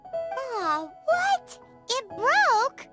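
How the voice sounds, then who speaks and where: A woman voicing a small, excitable, cheeky fairy companion in a video game, with a squeaky, childlike voice. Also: extremely slow, full character voice. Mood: excited. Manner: refers to self in third person, exclamatory. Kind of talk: English game voice acting. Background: background music.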